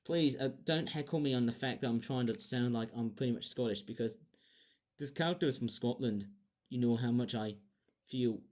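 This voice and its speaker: A man's voice: medium pitch, moderate pace, a little mellow. A young man casually reviewing a toy train set, rambling and apologetic, with many fillers.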